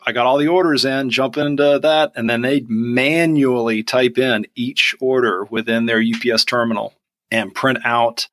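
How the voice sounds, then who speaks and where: A man in his forties with a Southern accent, telling stories in a relaxed interview and acting out quoted dialogue. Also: medium pitch, no room sound, studio quality. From Georgia, US. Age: 40s. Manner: animated storyteller, acts out quoted dialogue. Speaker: guest, online onion seller. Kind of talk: casual interview chat.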